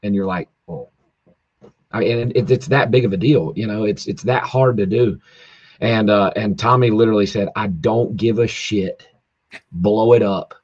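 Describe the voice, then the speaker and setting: Hoarse drag racer, low and dry. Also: male voice, moderate pace, darkish tone, no room sound, muffled recording. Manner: rambling, with many fillers and restarts. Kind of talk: casual podcast chat. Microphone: video call, home internet.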